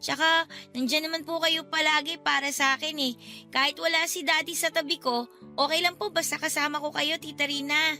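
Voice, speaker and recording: A little schoolgirl in a radio drama. She sounds sweet, polite and cheerful, with a very high, bright, childlike voice. Faint music plays behind. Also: fairly even intonation, a little fast. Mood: sweet, earnest.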